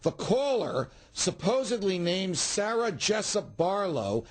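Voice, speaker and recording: A woman's voice: mid-range voice, big pitch swings, slow pace. A woman news reporter reading a scripted report.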